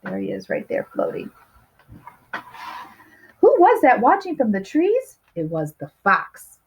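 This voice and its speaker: A woman's voice: on the high side, wildly swooping pitch, takes it slowly, slightly rough, rough recording. A woman reading a picture book aloud to young children, expressively stretching key words and putting questions to her listeners. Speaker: storybook reader.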